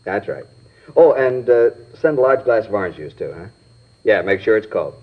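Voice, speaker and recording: A casual, comfortable gentleman in a vintage radio play. His voice sits fairly low, and he talks in an offhand, breezy manner. The recording is a little dull with age. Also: animated, normal speed. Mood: offhand, unbothered. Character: casual, unbothered well-off man receiving police callers. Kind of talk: old-time radio drama acting.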